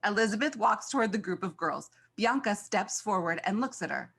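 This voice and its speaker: A woman's voice: medium pitch, lively intonation, a little fast, fairly bright tone, slightly rough. A woman reading scripted stage directions as the narrator of a screenplay.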